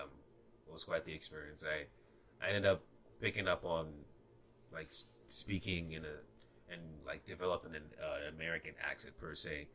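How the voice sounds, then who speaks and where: Deep-voiced young man, slight Ghanaian accent. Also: fairly bright tone, a little hiss or hum. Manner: hesitant, many fillers and restarts. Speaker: guest. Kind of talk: casual podcast conversation.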